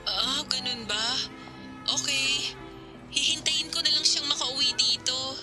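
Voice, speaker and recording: A woman's voice: high voice, fairly even intonation, unhurried, loud music behind the voice. A woman with a Filipino accent playing a concerned, reasoning older sister and mother in a radio drama. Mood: worried, subdued.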